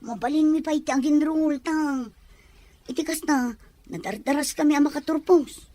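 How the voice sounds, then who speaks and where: A woman's voice: sits high, moderate pace, dark tone, dry recording, natural voice. A woman playing a young Filipino student daughter in a radio drama, pleading plaintively with an Ilocano accent.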